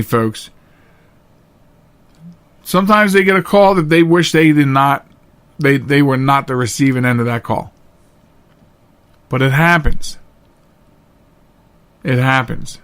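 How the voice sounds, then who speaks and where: A man's voice: on the high side, a little slow, long pauses, fairly bright tone. A man hosting a solo talk show, speaking directly to listeners in an earnest, emphatic way and repeating phrases to drive them home. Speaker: podcast host.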